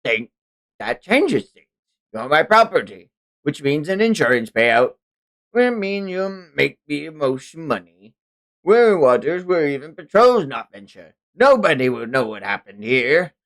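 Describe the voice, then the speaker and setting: A man's voice: on the high side, sing-song, unhurried, a bit bright, pitched above the performer's own voice, exaggerated voice. A man voicing a cruel, greedy pirate captain and slave trader in a manga read-along, sneering with archaic seafaring phrasing. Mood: contemptuous.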